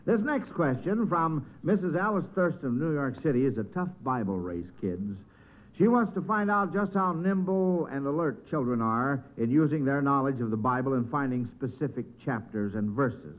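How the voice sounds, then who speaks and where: A man's voice: medium pitch, animated, normal speed. A man with an American accent hosting an old-time radio quiz in a folksy, encouraging, chatty manner. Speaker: quiz show host. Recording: muffled narrow-band vintage radio recording.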